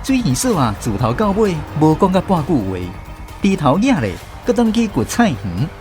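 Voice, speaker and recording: A man's voice: high voice, sing-song, moderate pace. A man narrating a village story in a radio drama, looking back on his childhood. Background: music.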